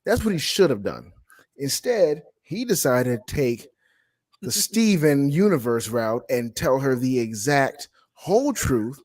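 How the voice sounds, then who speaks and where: A man's voice: mid-range voice, sing-song, a little slow, darkish tone, no room sound. A man in casual banter as a guest reviewer, blunt, joking and swearing freely.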